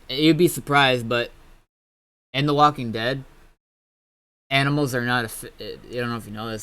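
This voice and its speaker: A man's voice: medium pitch, bright, forceful tone. A man hosting a livestream, chatting casually in a rambling, informal way with some swearing. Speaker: livestream host. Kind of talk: casual gaming stream chat.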